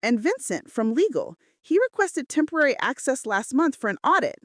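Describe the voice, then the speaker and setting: Woman acting defiant, emphatic female assistant, animated pitch. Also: moderate pace, dry recording, studio quality, full character voice. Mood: alarmed. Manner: emphatic, outspoken. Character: defiant, loyal young female assistant to a crime boss. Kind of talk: dramatic romance audiobook dialogue.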